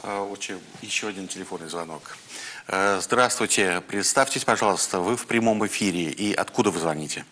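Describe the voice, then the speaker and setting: A man's voice: deep voice, fairly quick, sharp tone. A man hosting a live call-in programme, politely handling callers in a broadcast style.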